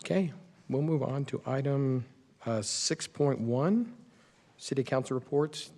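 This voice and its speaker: A man's voice: mid-range voice, unhurried, warm tone. Man chairing a meeting, very reverberant room. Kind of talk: procedural meeting talk. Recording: reverberant council chamber.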